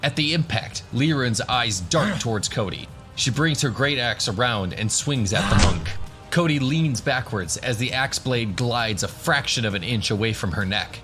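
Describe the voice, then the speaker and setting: A man's voice: mid-range voice, expressive, moderate pace, over music. A man narrating a scripted fantasy adventure as the storyteller of an audio drama. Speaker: narrator, game master.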